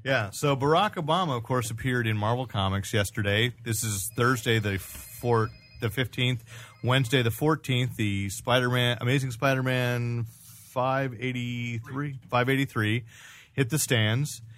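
A man's voice: mid-range voice, a little slow, fairly bright tone, slight background noise. A man hosting a casual news chat among friends. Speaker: podcast host.

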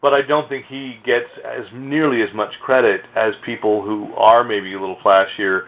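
A man in a casual comics discussion, speaking in a halting, thoughtful way. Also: fairly low pitch, steady tone. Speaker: podcast host. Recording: phone-like audio with clear noise.

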